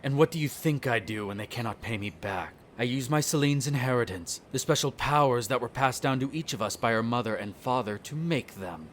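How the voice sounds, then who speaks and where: A man playing a smug, bitter vampire noble, the eldest son, in an audio roleplay, speaking in a haughty, sardonic way with drawn-out theatrical phrasing. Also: medium pitch, lively intonation, a bit bright, slight background noise, natural voice. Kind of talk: scripted audio roleplay acting addressed to the listener.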